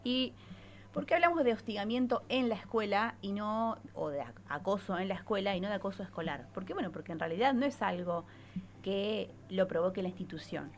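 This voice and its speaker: Woman, Argentine accent, medium pitch, explanatory radio column, frequent tag questions, faint background noise. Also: a little fast.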